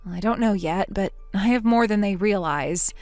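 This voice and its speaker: A woman's voice: moderate pace, no room sound, soft background music, lightly acted. A woman voicing a determined older sister who speaks firmly and steadily. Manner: firm, steady, lower-voiced.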